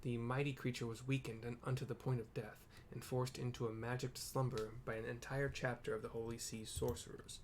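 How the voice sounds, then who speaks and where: A man's voice: mid-range voice, level tone, fairly quick, few pauses, slight background noise, close to an everyday voice. A man voicing a grave, proud dragon-slaying knight in a fantasy game, with solemn, formal, old-fashioned phrasing.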